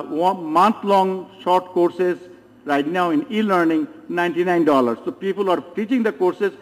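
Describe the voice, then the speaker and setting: A man, a former professor, giving an earnest, emphatic conference presentation, with some hesitations. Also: high-pitched. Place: large conference hall. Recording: long reverb of a large hall.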